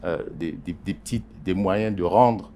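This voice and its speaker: A man, a journalist, answering interview questions in a halting way with many pauses and repeated words. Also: sits low, warm tone, a little hiss or hum. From Congo Basin. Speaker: journalist and editorial writer.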